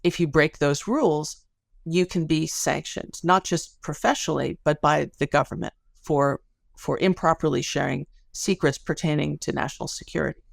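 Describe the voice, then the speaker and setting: A woman's voice: deep voice, moderate pace, vocal fry, no echo. A woman speaking as an academic guest in an interview, explaining research conversationally with everyday examples.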